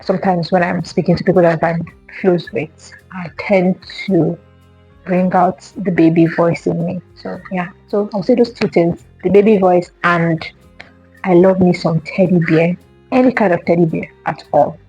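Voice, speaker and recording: A woman's voice: on the low side, fairly even intonation, normal speed, dark tone, slightly rough, no echo, muffled recording, faint music behind. A young woman vlogger chatting casually to camera, answering questions and repeating herself with lots of fillers.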